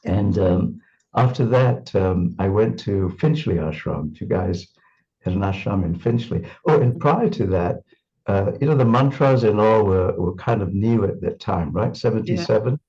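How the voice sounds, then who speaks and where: Lowish mellow guy from singapore, stumbling over words, muffled call sound. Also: normal speed. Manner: thoughtful, repeats words, many fillers. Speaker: interviewee. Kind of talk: reflective interview reminiscence.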